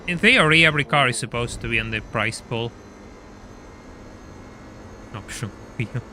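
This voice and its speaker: A man's voice: medium pitch, lively intonation, normal speed, sharp tone, slight background noise, rough recording. A young man from Argentina, chatting casually while streaming a racing game, laughing often and stammering over repeated words. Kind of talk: casual live-stream chat and gameplay commentary.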